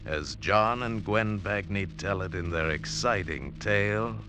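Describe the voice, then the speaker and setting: Male old-time radio announcer; deep, unhurried, dramatic scripted intro with music behind. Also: noisy background.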